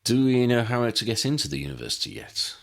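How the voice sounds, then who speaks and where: A man's voice: mid-range voice, big pitch swings, quick pace, gravelly, pitched above the performer's own voice. A man voicing an earnest wizard team leader in a storybook, serious, careful and explanatory.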